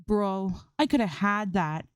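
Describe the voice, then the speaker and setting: A woman voicing an excitable, indignant young female game streamer in a story reading, with slangy, exclamatory protests. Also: medium pitch, slow, clear, resonant tone, no echo, clean studio sound, pitched above the performer's own voice, lightly acted. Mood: cocky grumbling. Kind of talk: acted dialogue in fan fiction reading.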